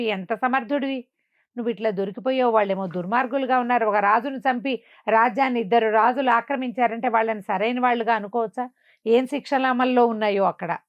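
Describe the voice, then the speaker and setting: A woman's voice: on the high side, no echo, lightly acted. Female storyteller as earnest young woman, emotional, emphatic, exclaiming, folk tale, dry slightly dull recording. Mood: lamenting.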